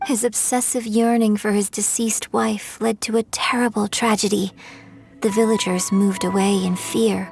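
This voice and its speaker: A woman voicing a refined, gentle young opera performer in a video game, polite, measured and earnest. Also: mid-range voice, little pitch movement, vocal fry, over music, close to an everyday voice. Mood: thoughtful, troubled.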